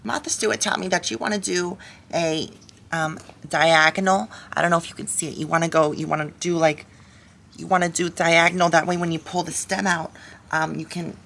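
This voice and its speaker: A nasal, gravelly suburban cartoon housewife, played by a woman, rambles through a homemade craft vlog. She is chatty and halting, with a nervous edge and salty asides. There is clear noise in the home recording. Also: on the low side, fairly even intonation, fairly bright tone. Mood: chatty, assertive. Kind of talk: parody craft vlog in character.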